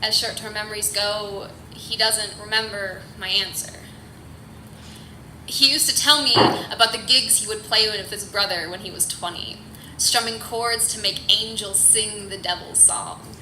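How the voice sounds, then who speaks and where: A young woman performing spoken-word poetry live in an earnest, emotive, measured way. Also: medium pitch, fairly even intonation. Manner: earnest, emotive, measured phrasing that rises from hushed to forceful. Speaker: slam poet. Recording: echoey, noisy live room.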